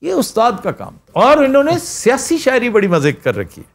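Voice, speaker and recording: A middle-aged man hosting a studio chat show, telling stories and reciting verse in an animated way. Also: extremely high pitch, normal speed, gravelly. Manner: animated, storytelling with quoted voices. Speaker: host. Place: TV studio set.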